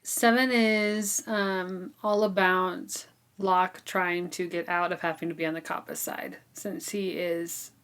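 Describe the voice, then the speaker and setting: Lower-voiced woman chatting about books. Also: level tone, takes it slowly, fairly bright tone, clear, resonant tone. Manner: informal, conversational recapping. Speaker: podcast co-host. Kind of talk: casual book discussion.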